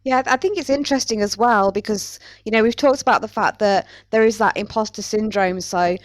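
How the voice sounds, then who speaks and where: A woman's voice: mid-range voice, a little fast, no echo. Articulate woman, close dry sound. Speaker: podcast panellist. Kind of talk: casual chat.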